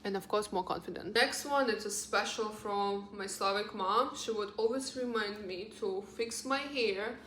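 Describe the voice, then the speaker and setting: A woman's voice: medium pitch, moderate pace, few pauses, fairly bright tone, slight background noise. A roomy, echoing recording of a young woman with a Russian accent. She is a native Russian speaker with a mid-range voice, talking casually to her viewers like a lifestyle vlogger, in an earnest and encouraging way. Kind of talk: casual advice vlog to camera.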